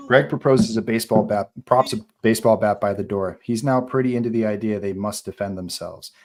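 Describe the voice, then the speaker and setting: A man reading screenplay action aloud as narrator of a live table read, in an informal, animated way. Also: lowish voice, fairly quick.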